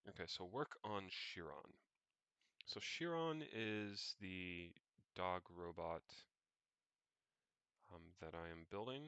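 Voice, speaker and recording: A man casually thinking aloud as he narrates his screen on a livestream, pausing mid-sentence and muttering to himself. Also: low voice, lively intonation, bright, forceful tone, clear, resonant tone, no echo. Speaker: solo livestreamer.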